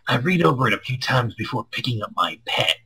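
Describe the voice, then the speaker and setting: A man with a husky, strained voice reading a visual novel aloud expressively, with crude joking asides and stumbles over lines. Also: medium pitch, big pitch swings, a little fast, pushed and bright, poor audio. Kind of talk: expressive read-aloud with casual banter.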